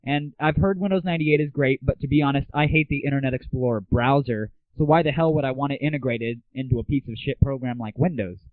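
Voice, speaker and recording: A man's voice: mid-range voice, fast talker, hardly any pauses, no echo. A man hosting a casual solo show, giving blunt, opinionated commentary peppered with swearing. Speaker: show host. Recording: narrow, phone-like lo-fi audio.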